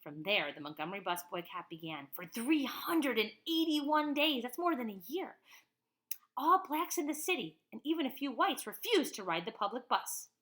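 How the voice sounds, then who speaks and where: Lady reading as a calm determined woman activist telling her own story, earnest, measured, bright voice a bit high. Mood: resolute. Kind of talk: first-person picture-book narration.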